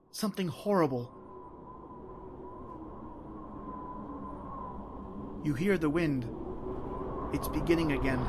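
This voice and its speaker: An actor playing a tormented, invisible male spirit in an old-time radio horror play, with dramatic, anguished, halting delivery. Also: on the high side, husky. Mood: anguished, brooding. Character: tormented invisible male spirit wandering his haunted house.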